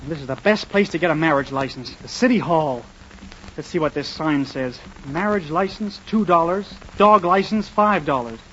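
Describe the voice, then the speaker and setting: A man's voice: fairly high pitch, expressive, moderate pace, natural voice. A man playing an eager, polite, hapless young man in love in a 1940s radio sitcom. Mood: hesitant, musing. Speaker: comedian, star of the show. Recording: muffled, noisy 1940s radio, studio audience.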